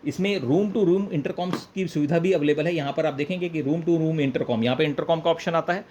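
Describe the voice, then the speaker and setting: A man's voice: fairly high pitch, moderate pace, darkish tone. An Indian man presenting an informal product demonstration, explaining step by step, repeating points and mixing in English phrases. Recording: clear background noise, room ambience.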